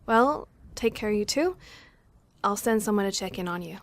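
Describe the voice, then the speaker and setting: An actress plays a young female medic in a survivor-camp audio drama. She has a medium-pitched voice and talks casually, a little hesitant but considerate and reassuring. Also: lively intonation, normal speed, close to an everyday voice. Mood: casual, apologetic. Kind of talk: amateur audio drama acting.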